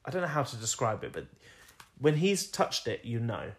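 Croaky-voiced man chatting. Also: medium pitch, normal speed. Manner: relaxed, rambling, frequent ums and laughs. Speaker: podcast co-host. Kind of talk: casual film review chat.

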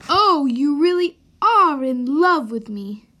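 Woman acting a cocky young storybook hero, very high voice, slow pace, teasing, boastful, amateur radio play. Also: animated, bright, forceful tone, exaggerated voice.

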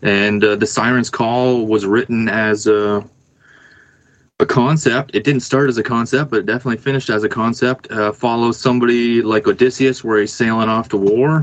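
A man's voice: mid-range voice, steady tone, moderate pace, no room sound. A man speaking casually as a musician guest, explaining things in a laid-back, hesitant way. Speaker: band musician and songwriter, interview guest. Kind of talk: casual conversation.

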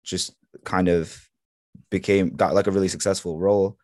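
A young man born in Egypt, native in Arabic, speaking with an American accent as a podcast guest in relaxed, filler-heavy chat. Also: low voice, moderate pace, no echo, studio quality. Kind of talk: casual conversation.

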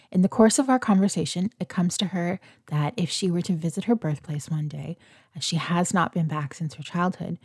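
A woman reads a feature article aloud, her voice sitting low and steady. The pace is even and measured. It is recorded close and dry, with no room sound at all. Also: no room sound. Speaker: reader of a magazine article.

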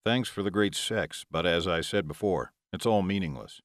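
A man's voice: sits low, normal speed, dry recording, studio quality, lightly acted. A man voicing a nonchalant, unflappable male vampire in an audiobook, curt and threatening. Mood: resolute.

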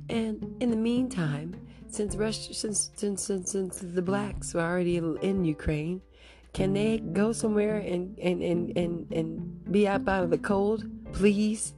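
A woman hosting her own podcast, speaking in a rambling, casual monologue with a raspy voice she is losing, and breaking into nervous laughs. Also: medium pitch, unhurried, music in the background. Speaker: podcast host.

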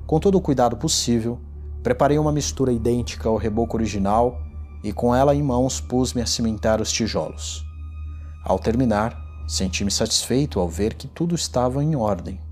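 A man playing an unhinged, gloating condemned murderer who recounts his crime in a deliberate, theatrical gothic horror reading. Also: on the low side, close to an everyday voice. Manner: theatrical, deliberate, swinging from hushed to exultant.

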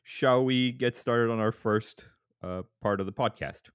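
A man's voice: a little slow, smooth, dry recording. A man hosting a podcast in casual chat, playful and teasing. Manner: playful, jumps up in pitch for jokes. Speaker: podcast host.

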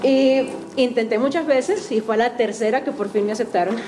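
A woman's voice: a little high, fairly quick, music in the background. A woman from Venezuela with a Venezuelan Spanish accent, giving a chatty, self-deprecating talk to a class in a university auditorium. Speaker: guest speaker.

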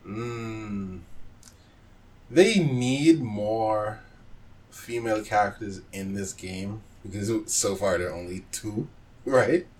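A man's voice: lowish voice, takes it slowly, darkish tone, poor audio. A man in a casual podcast chat about games, thinking aloud hesitantly as he talks. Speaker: podcast panelist.

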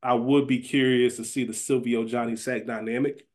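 A man's voice: mid-range voice, flat delivery, moderate pace. A man co-hosting a podcast in a casual chat style, emphatic and often repeating his phrases. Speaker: podcast co-host.

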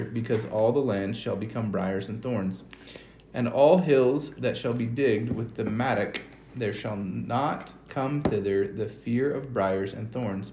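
A man's voice: medium pitch, darkish tone. Casting: man leading a family scripture reading, plain read-aloud with casual asides, roomy echo. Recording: roomy echo, faint background noise.

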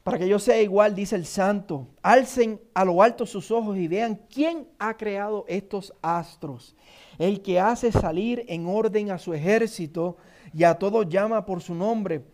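A man's voice: sits high, unhurried, a little mellow. A man with a Puerto Rican accent, preaching a sermon, reading scripture emphatically and repeating key phrases. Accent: Puerto Rican Spanish. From Puerto Rico. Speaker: pastor.

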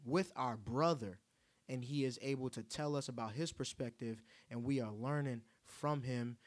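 A man in casual conversation as a co-host, speaking in a passionate, preaching tone. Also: medium pitch.

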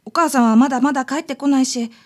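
A woman's voice: sits high, fairly even intonation, fast, darkish tone. A woman playing a shy, polite schoolgirl in a radio drama. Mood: shy, apologetic.

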